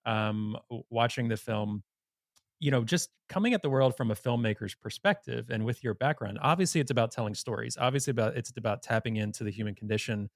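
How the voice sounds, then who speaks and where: A man's voice: mid-range voice, fairly quick, no room sound, clean studio sound. A man hosting a podcast in an informal, blunt conversational manner. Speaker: podcast host. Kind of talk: conversational interview.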